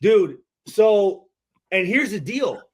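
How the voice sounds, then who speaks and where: A middle-aged man with a fairly high-pitched voice tells a story in casual, profane language. He halts and repeats phrases as he goes, acting out what was said in the exchanges. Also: big pitch swings, slightly rough. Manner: halting, repetitive, acts out exchanges. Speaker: podcast guest telling a story. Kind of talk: animated casual storytelling, heavy swearing.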